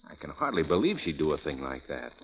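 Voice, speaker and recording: An actor playing an earnest, possessive husband who grows urgent and threatening, in a 1940s radio drama. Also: male voice, a bass voice, sing-song, fast, exaggerated voice. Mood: intense. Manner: earnest, urgent, emotional swings. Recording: dull old radio recording with faint hiss.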